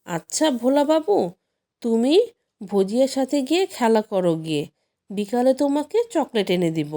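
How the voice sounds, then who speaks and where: A woman's voice: on the high side, animated, moderate pace, mellow, close to an everyday voice. A woman voicing a watchful, teasing uncle who questions and coaxes children in a storybook tale. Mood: coaxing.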